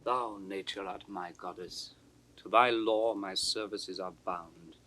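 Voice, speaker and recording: A scheming, bitter young villain. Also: male voice, deep voice, steady tone, sharp tone, a little hiss or hum, exaggerated voice. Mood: defiant, brooding. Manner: theatrical, deliberate, drops into conspiratorial whispers. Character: scheming, bitter young bastard son of a nobleman. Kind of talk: classical Shakespearean stage acting. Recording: 1960s studio audio drama recording.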